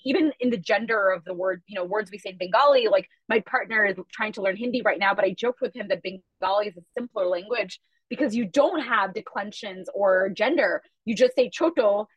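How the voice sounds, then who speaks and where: Woman talking super fast, kinda husky, dry close sound, emphatic. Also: medium pitch, dry recording, poor audio. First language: Chhattisgarhi. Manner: fast, animated, emphatic storytelling. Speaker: guest, media company founder. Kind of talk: casual podcast interview.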